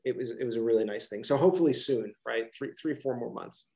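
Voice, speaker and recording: A man's voice: mid-range voice, quick pace, mellow. A middle-aged man with a Philadelphia accent, a college professor answering interview questions casually on a video call, with many restarts and fillers.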